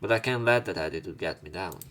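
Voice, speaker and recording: A low-pitched man narrates a visual novel as a let's play host over clear background music. He reads the text aloud, adds casual remarks, and trips on words before fixing them. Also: lively intonation, quick pace, fairly bright tone. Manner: stumbles over words and corrects himself. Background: game music behind the voice.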